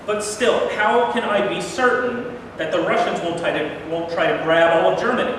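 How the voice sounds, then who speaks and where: Distant, echoing hall recording. Casting: male actor as blunt, impatient wartime president, high-voiced. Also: normal speed, a bit bright. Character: irritable, plain-spoken American president, worried about war casualties. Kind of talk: staged reading of a play. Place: live reading in a large echoing room. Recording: very echoey noisy hall, from far off.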